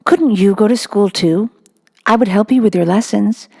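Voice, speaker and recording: Woman voicing a hushed, solemn little girl. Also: mid-range voice, normal speed, gravelly, slightly rough, pitched above the performer's own voice. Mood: pleading, earnest. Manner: hushed, earnest, old-fashioned speech. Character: thoughtful, solemn seven-year-old girl, softly mysterious. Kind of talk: storybook character reading.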